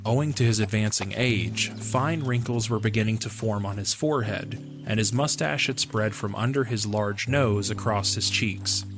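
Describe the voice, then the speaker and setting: Low-voiced male story narrator over music. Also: normal speed, few pauses.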